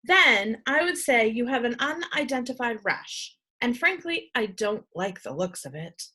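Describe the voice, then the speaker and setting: Woman reading as fussy, kindly school nurse, slow and emphatic. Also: fairly high pitch, sing-song, sharp tone, husky, full character voice. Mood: puzzled, concerned.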